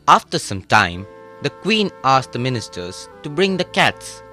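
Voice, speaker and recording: Man, kids' story narrator, bright tone, lively melody, steady and clear. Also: medium pitch, unhurried, soft background music. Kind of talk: scripted children's story narration.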